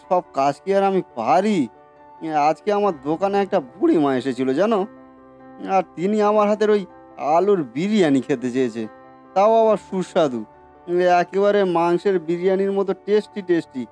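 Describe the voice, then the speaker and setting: A man's voice: high voice, moderate pace, darkish tone, faint music behind, close to an everyday voice. A man voicing a good-natured, modest food seller who talks earnestly and hesitantly in a cartoon story. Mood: worried, hesitant. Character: humble, good-natured biryani seller and husband. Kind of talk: Bengali cartoon story voice acting.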